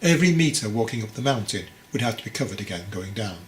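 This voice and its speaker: A man's voice: lowish voice, animated, fast, a little hiss or hum. A man reading his own book aloud as scripted story narration.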